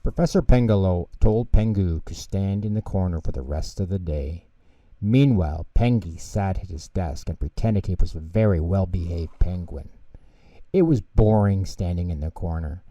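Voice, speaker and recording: A man narrating children's bedtime stories in an expressive storytelling style. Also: sits low, normal speed, dry recording. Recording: dull, lo-fi close recording.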